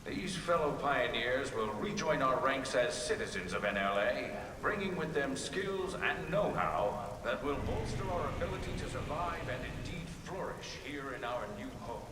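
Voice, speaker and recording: A man's voice: mid-range voice, lively intonation, moderate pace, hardly any pauses, close to an everyday voice. An actor playing a pompous male political leader in a video game, giving a grandiose formal speech to his citizens. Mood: grandly proud. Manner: grandiose, oratorical. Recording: echoing public-address speech, distant, heavy noise.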